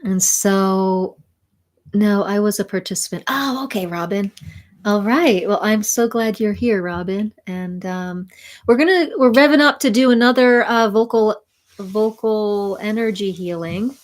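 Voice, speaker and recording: A woman hosting a spiritual sound healing livestream, talking slowly and soothingly while reading viewer comments and laughing softly. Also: mid-range voice. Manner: slow, soothing, reflective, with soft laughs and restarts.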